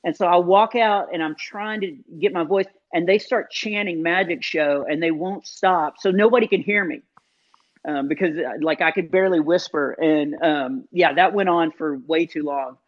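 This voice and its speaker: A man, a comedian guest in an interview, telling self-deprecating stories in an animated, casual way with many fillers. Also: a little high, moderate pace. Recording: dull lo-fi sound.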